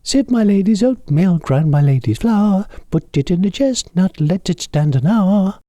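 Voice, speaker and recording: A man voicing a chorus of tiny merry mice singing nursery rhymes in a high sing-song. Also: a little slow, dark tone, no room sound, lightly acted. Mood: gleeful.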